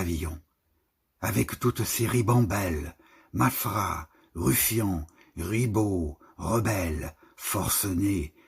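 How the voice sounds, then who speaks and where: A man reciting poetry in deliberate, measured short phrases with pauses between them. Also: on the low side, creaky, slightly rough. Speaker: poetry reader.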